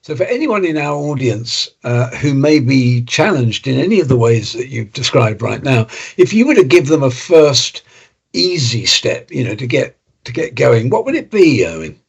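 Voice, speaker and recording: A man's voice: medium pitch, very animated, normal speed, gravelly, husky. A man hosting a podcast interview, friendly and thoughtful, summarizing what his guest says. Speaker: podcast host.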